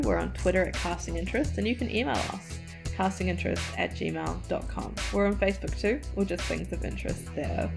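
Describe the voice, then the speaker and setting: A woman's voice: medium pitch, gravelly. A young woman, co-hosting a casual podcast, chatty with lots of fillers and laughs. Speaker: podcast co-host.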